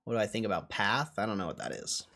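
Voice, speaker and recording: A man's voice: lowish voice, animated, fast talker, gravelly, bright, forceful tone. A man hosting a livestream in a casual, blunt and dismissive way, swearing now and then as he answers chat. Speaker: livestream host.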